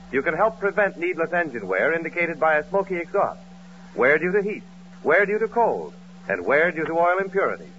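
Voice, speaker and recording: A man's voice: fairly high pitch, fairly bright tone, muffled recording, slight background noise. An American man working as a radio announcer in polished 1940s broadcast style. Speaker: announcer and comic sidekick.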